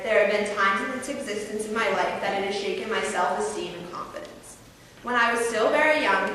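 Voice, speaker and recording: A woman's voice: medium pitch, a bit bright, slight background noise. A teenage girl with a mild stutter gives a formal prepared speech to an audience. She speaks carefully and sometimes repeats a word. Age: teens. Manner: formal, carefully paced, occasional repeated words. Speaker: student speaker. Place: large hall, heard from far off. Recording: roomy hall echo from a distant mic.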